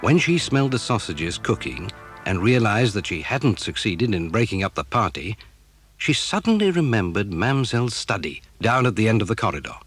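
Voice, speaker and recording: A man's voice: fairly low pitch, sing-song, moderate pace, fairly bright tone, breathy edge. A man narrating a children's story as dramatic scripted storytelling. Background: music.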